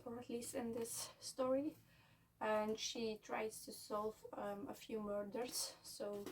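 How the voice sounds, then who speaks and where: A young woman from Belgium, a native Dutch speaker, chatting casually at home about books she is selling, pausing often with fillers and restarts. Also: on the high side, darkish tone. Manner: halting, many fillers and restarts. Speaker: book vlogger. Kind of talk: casual book unhaul chat. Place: at home, seated. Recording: somewhat distant mic, faint room noise.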